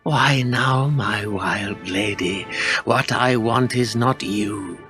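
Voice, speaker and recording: A man's voice: fairly low pitch, takes it slowly, fairly bright tone, faint music behind. An actor playing a haughty, greedy warrior king and father in a radio play, with pompous, archaic delivery. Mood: scornful, gloating. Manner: pompous, declamatory archaic speech.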